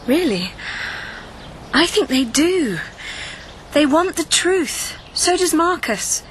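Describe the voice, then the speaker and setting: An actress playing a brisk young television assistant in a radio drama, patient, tactful and firm. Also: female voice, high voice, very animated, slight background noise, heavily acted. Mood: earnest. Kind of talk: broadcast radio drama acting.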